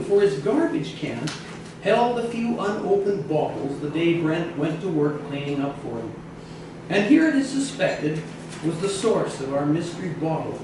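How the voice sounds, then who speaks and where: High-voiced man storytelling in an echoey room. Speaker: storyteller reading a memoir. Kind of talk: live reading of a humorous true story. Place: echoey indoor bistro. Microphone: distant microphone in the room. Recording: roomy, echoey, noisy, distant mic.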